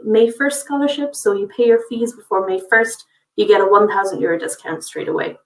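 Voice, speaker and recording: A woman's voice: medium pitch, reverberant, recorded from a distance, rough recording. A woman from a college's international office giving a friendly, informative webinar presentation, with frequent fillers.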